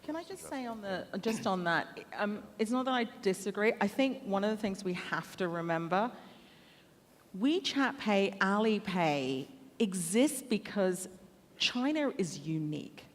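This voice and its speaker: A woman from Hong Kong speaks on a conference panel, lively and anecdotal, turning to the audience to make her point. Her voice sits at a middle pitch and carries a long reverb from a large hall. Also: measured pace.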